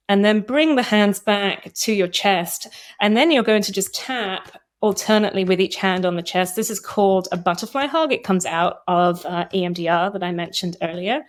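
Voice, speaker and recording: A woman in her fifties speaking as a therapist guest, explaining and guiding an exercise in a measured, instructive way. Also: mid-range voice. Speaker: guest psychotherapist and trauma coach. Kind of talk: explanatory interview talk with guided exercise.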